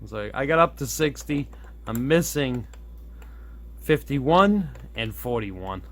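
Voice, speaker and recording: A man's voice: mid-range voice, slow. A man giving a casual hands-on demo, thinking aloud in an easygoing way as he presents his hobby project. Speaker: hobbyist presenter showing a radio modification. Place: indoors at a workbench. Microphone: camera on a tripod nearby. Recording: steady background noise, room ambience.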